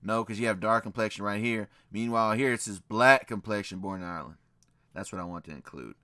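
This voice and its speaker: A man's voice: lowish voice, a little fast, pushed and bright, no echo, studio quality. A man hosting a reaction video, reading old records and scripture aloud in casual commentary, emphatic and repeating key phrases with frequent "right?". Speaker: channel host reacting to a video.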